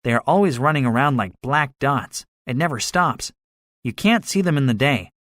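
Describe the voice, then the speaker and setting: A man's voice: mid-range voice, lively intonation, moderate pace, a bit bright, dry recording, clean studio sound, voice pushed up, natural voice. A man voicing a cynical, boastful soldier survivor in a dramatized story, speaking emphatically with a swaggering manner. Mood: urgent, wary. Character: cynical, boastful male soldier, excitable survivor with grand schemes. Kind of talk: dramatized audiobook dialogue.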